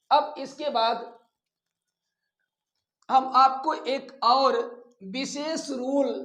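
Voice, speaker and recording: Slow-paced male teacher, echoey room. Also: very high voice, fairly bright tone. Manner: deliberate, dictating formulas piece by piece, instructive. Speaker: English grammar teacher. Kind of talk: step-by-step classroom lesson. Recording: echoey, roomy sound.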